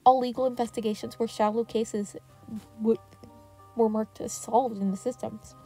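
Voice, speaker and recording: A woman's voice: fairly high pitch, steady tone, normal speed, dark tone, soft background music, close to an everyday voice. A woman voicing a clever, guarded teenage boy in an audio story, explaining in a casual, determined, matter-of-fact way.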